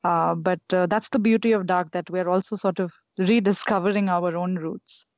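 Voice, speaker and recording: A woman's voice: fairly low pitch, fairly even intonation, dry recording. Candid woman, dry and muffled. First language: Maithili. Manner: candid, measured, many hesitations. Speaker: newsletter co-founder, guest. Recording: dull, dry lo-fi sound.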